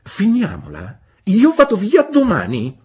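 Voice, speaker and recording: A man voicing a stern, severe middle-aged woman, shrill and imperious. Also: huge pitch swings, normal speed, breathy edge, full character voice. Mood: indignant.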